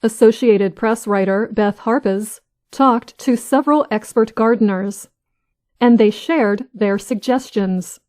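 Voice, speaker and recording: A woman reads a learners' news script with careful, deliberate delivery. Her voice sits somewhat high, and she reads very slowly. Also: a little mellow. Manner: careful, evenly paced delivery. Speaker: news reader for English learners. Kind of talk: slow, clear scripted reading.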